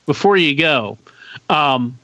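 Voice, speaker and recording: A man's voice: on the high side, animated, slow pace, sharp tone, dry recording, low-quality recording. A man talking casually as a podcast co-host. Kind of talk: casual chat.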